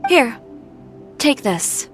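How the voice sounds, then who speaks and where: A woman's voice: a little high, big pitch swings, drawn-out words, soft background music, full character voice. A woman playing a cool, confident young fashion designer in a video game, composed and dryly witty. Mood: sincere. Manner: composed, dry, wry.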